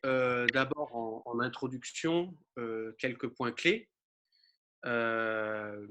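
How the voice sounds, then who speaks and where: A man hosting a webinar over a video call, introducing a guest and asking questions in a halting way with many fillers. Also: medium pitch, pushed and bright. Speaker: webinar host.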